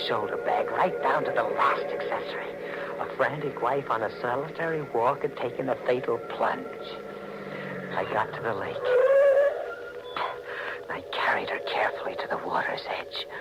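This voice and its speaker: An actor playing an anxious, scheming husband who confesses to murder in a melodramatic 1940s radio mystery. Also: male voice, mid-range voice, moderate pace, in a whisper, close to an everyday voice. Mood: hushed dread. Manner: melodramatic, intense. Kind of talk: 1940s radio mystery acting, first-person narration. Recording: noisy old 1940s radio recording.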